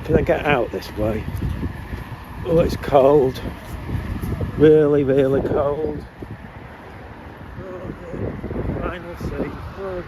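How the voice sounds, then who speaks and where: A man's voice: fairly high pitch, takes it slowly, pauses often. A man with a cold, chatting casually to camera and muttering to himself outdoors. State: has a cold. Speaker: vlogger filming outdoors. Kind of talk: rambling casual chat to camera. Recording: outdoor traffic noise.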